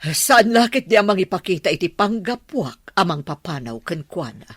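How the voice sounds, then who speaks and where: An actress playing a snobbish, indignant wealthy mother in an Ilocano radio drama, with a husky voice. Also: on the low side, big pitch swings, normal speed, dry recording, studio quality. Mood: haughty, indignant.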